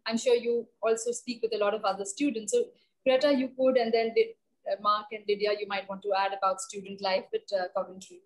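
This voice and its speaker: A woman's voice: mid-range voice, fairly even intonation, fairly quick, poor audio. A middle-aged woman with an Indian accent, putting questions conversationally as moderator of a panel on a video call. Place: indoor room, video call.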